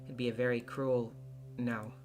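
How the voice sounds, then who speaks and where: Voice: medium pitch, flat delivery, normal speed, over music. A solo voice actor giving a dramatic scripted narration of a visual novel.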